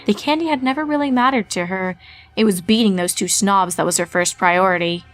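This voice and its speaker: A woman's voice: medium pitch, no echo. Woman reading a horror story. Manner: measured, storytelling. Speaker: narrator.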